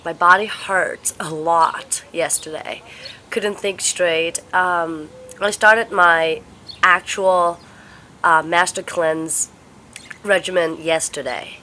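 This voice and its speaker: A young woman vlogging casually at home, stuffed up and congested, talking in a slow, halting, tired way. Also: fairly low pitch, fairly even intonation, pushed and bright, slight background noise. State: congested, sniffly with a cold. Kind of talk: casual vlog chat. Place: home, indoors.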